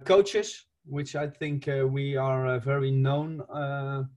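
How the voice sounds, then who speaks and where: A man in his thirties with a Dutch accent, a football coach giving an online presentation from an attic room over a laptop mic, halting and searching for English words. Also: medium pitch, steady tone, darkish tone.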